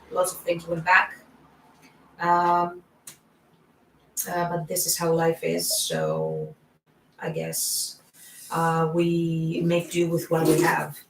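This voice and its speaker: A woman's voice: on the low side, background noise. A woman with a foreign accent chatting casually on a livestream, rambling with lots of fillers. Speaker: band singer and co-host. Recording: roomy sound from a distant mic.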